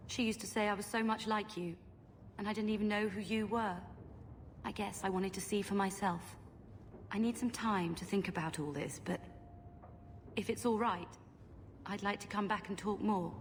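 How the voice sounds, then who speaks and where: An actress playing a feisty, wounded young long-lost daughter in a fantasy video game. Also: female voice, mid-range voice, steady tone, normal speed, long pauses, big-room sound, slight background noise, natural voice. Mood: hesitant, earnest. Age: young adult. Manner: defiant, earnest, sarcastic.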